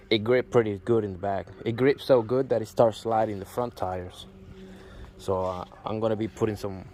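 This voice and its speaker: A young man from Puerto Rico with a Puerto Rican Spanish accent, a native Spanish speaker, walking around his pickup truck outdoors and explaining it in a casual, halting way, often searching for words and restarting. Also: fairly low pitch, slight background noise. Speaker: car owner showing his truck.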